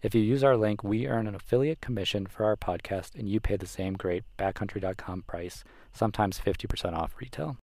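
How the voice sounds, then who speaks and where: A man's voice: lowish voice, brisk, hardly any pauses, dark tone. A man co-hosting a podcast, reading a scripted sponsor spot. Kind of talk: scripted ad read.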